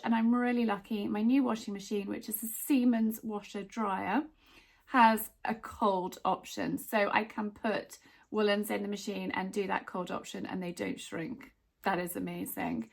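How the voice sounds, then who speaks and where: A woman giving chatty laundry tips in a casual vlog, sounding a bit croaky. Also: on the high side. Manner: chatty, friendly asides to viewers. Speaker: lifestyle vlogger.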